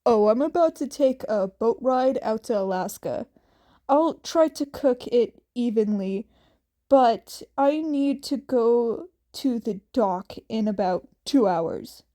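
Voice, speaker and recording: A woman's voice: on the high side, animated, takes it slowly, dark tone. Woman voicing a guarded, sarcastic young man, terse. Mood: casual.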